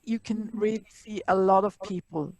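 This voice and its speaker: A woman's voice: medium pitch, fairly even intonation, moderate pace, darkish tone. A middle-aged woman from Switzerland, hosting a library video call in a chatty, friendly way, talking into earbuds. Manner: friendly, chatty, laughs easily. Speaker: library workshop host. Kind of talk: casual video call chat. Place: small office with green wall. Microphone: earbud mic on video call.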